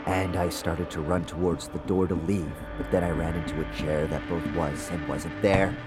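A very low-pitched actor as a man tells his ghostly story in the first person. He sounds uneasy yet conversational, like a scripted audio drama confession. Music plays prominently beneath his words. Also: fairly even intonation, normal speed, natural voice. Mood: strained, fading. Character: haunted man recounting a seance, uneasy, conversational. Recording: music bed under the voice.

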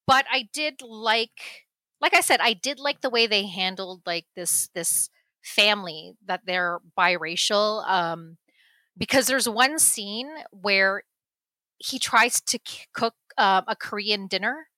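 Chatty, bright-voiced mother reviewing a movie. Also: mid-range voice, slow pace, no room sound, rough recording. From United States. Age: adult parent. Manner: chatty, laughing, many restarts. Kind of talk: casual movie chat.

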